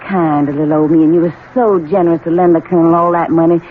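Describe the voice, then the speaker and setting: An actress with an American Southern accent playing a spoiled, scheming young bride, coquettish and imperious, in a 1970s radio drama. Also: female voice, low-pitched, steady tone, fast talker, narrow, tinny audio, natural voice. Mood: coy, wheedling. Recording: band-limited, noisy old radio recording.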